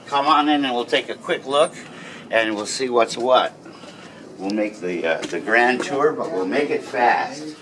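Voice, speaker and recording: A man giving an informal, welcoming walk-through tour in a halting way, as a guide showing visitors around. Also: medium pitch, fairly bright tone, a little hiss or hum. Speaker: tour guide showing a radio society museum. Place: walking through museum building during a public event. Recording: echoey rooms with busy crowd chatter. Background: crowd chatter.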